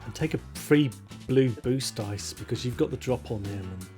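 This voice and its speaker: A relaxed Yorkshire man runs a tabletop game in an easy, chatty voice, talking players through rules and outcomes. His pitch sits mid-range for a man. Faint music plays underneath. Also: moderate pace. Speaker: game master.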